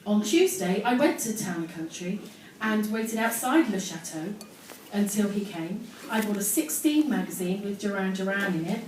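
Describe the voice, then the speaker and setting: A woman's voice: medium pitch, expressive, normal speed, hardly any pauses. A woman giving a live comic reading from her own teenage diary, acting out the drama with amused asides. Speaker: storyteller on stage. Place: live storytelling event venue with audience. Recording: very echoey, noisy live venue, distant mic.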